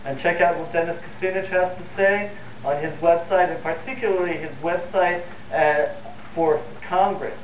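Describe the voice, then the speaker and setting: Thin, noisy and echoey audio carries a man hosting a live call-in talk show. His voice sits fairly high, and he talks off the cuff with earnest, opinionated conviction. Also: fairly even intonation, moderate pace. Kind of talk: unscripted live phone-in talk. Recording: phone-like, roomy, distant, noisy TV audio.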